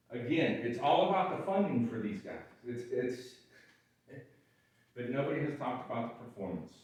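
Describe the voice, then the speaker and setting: A man with a gravelly voice giving frustrated, emphatic public comment at a county board meeting. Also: mid-range voice. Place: large echoey meeting room. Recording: echoey room, voice far from mic.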